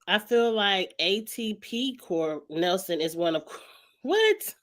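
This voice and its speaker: A woman hosting her own vlog, talking casually and frankly about a reality show with a raspy, hoarse voice and frequent throat-clearing. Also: mid-range voice, expressive, takes it slowly. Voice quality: raspy, heavy voice. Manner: chatty, frank, greets live viewers, clears throat often. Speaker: vlog host. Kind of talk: casual reality-TV commentary chat.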